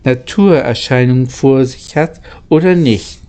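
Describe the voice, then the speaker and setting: Man reading as an earnest, slow-spoken young boy. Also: medium pitch, dark tone, clear, resonant tone, lightly acted. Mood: uncertain. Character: earnest, admiring, easily worried young boy traveller.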